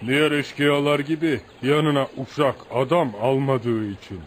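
Bir adamın canlandırdığı saygılı, hayranlıkla efsane anlatan köylü, gürültülü kayıt. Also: male voice, medium pitch, moderate pace, lightly acted. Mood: earnest, admiring. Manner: animated folk storyteller, addresses listener as sir. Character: rustic village man, deferential, admiring teller of outlaw legends. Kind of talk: radio theatre acting. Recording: noisy radio drama recording.

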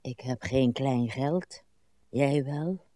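An actress playing a weary, plaintive old wife in a radio drama, by turns murmuring and insistent. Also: female voice, very deep voice, warm tone, no room sound, clean studio sound, heavily acted. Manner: slow, theatrical, swinging between murmurs and cries. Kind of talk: broadcast radio drama acting.

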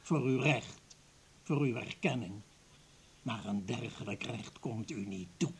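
An actor playing an embittered, grieving older professor in a radio play, husky and weighty, full of wounded accusation. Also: male voice, medium pitch, measured pace, slight background noise, lightly acted. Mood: bitter, wounded. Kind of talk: Dutch radio play acting.

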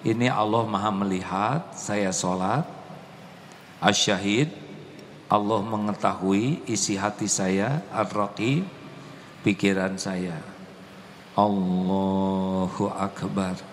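A man delivering a sermon, unhurried and measured in his phrasing. His voice carries a long hall reverb with faint background noise. He swings from soft, gentle explanation to impassioned, high exclamation. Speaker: preacher.

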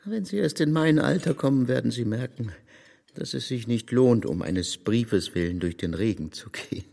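Dry, creaky-voiced gentleman in hall reverb. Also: medium pitch, expressive, normal speed. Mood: politely chiding. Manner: formal, courteous, dry. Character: gentlemanly married man, middle-aged, grumbling yet kindly. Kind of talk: literary radio play acting. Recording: studio radio play with hall reverb.

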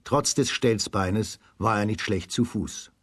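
A man narrating a children's radio play as a scripted storyteller, in a husky voice. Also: lowish voice, slow pace.

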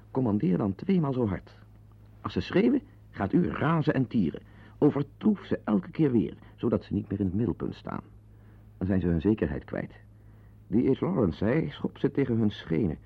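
Radio drama husband: bitter, neurotic, sardonic confider with swooping intonation, muffled lo-fi tape sound. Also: male voice, on the low side, creaky, slightly rough, heavily acted. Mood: irritated, confiding. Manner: digressive, sardonic, prone to outbursts. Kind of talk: radio play monologue and dialogue acting.

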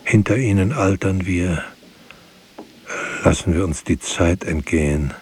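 An actor playing a grave, brooding narrator in a literary radio drama, reciting in a solemn, measured way. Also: male voice, low-pitched, a little mellow. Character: grave male narrator, poetic, brooding witness. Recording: faint background hiss.

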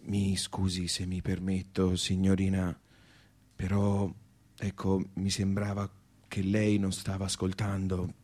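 Low, monotone, solemn man. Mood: courteous, hushed. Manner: slow, flat, deliberate delivery. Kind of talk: stage-style radio theatre, chanted call and response.

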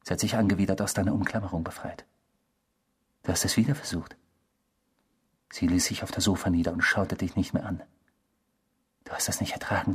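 Male tormentor for radio drama: insinuating, theatrical, sometimes hushed, mocking, with music underneath. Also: sits low. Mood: coldly accusing. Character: sinister, taunting tormentor, a man's cruel inner self. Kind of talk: German radio drama acting.

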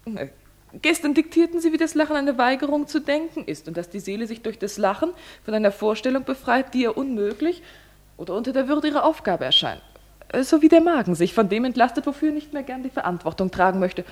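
An actress playing a young, deferential yet cheeky secretary in a German radio play. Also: female voice, high-pitched, lively intonation, fast talker. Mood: apologetic, playful.

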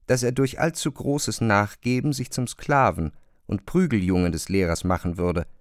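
A man reads literary narration for a radio drama. His voice is mid-pitched and recorded very dry and close, with no room around it. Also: no echo. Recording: dry studio.

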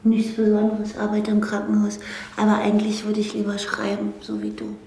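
An actress playing a young woman doctor in a radio drama, a hesitant, softly insistent admirer who quietly yearns. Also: medium pitch, big pitch swings, brisk, roomy sound. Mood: hesitant yearning. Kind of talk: naturalistic radio drama acting.

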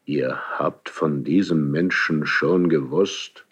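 An actor playing a proud, embittered ageing king in a classical German verse radio drama, slow and halting with a gravelly, husky voice. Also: extremely low pitch, exaggerated voice. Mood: troubled questioning. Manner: slow, halting, brooding, theatrical declamation. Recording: slightly dull archival radio drama recording.

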